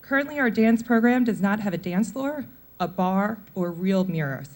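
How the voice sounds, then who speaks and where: A woman in her forties, a mother of four, briskly reads a prepared public comment at a town meeting, running through lists of needs. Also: medium pitch, lively intonation. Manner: brisk, reading from notes with list-like delivery.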